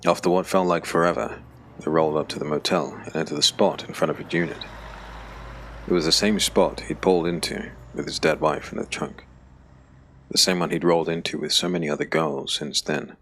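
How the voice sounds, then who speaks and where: A man narrating a scripted dark fantasy audiobook with a deep, gravelly voice. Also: steady tone, fairly quick, slight background noise.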